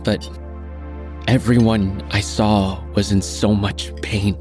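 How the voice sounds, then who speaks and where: A troubled young man in an audio drama, speaking in a fairly low voice. He is weary, withdrawn and terse, guarded with what he feels, and soft music sits behind him. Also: flat delivery, takes it slowly. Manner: subdued, terse, haunted. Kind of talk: naturalistic audio drama acting.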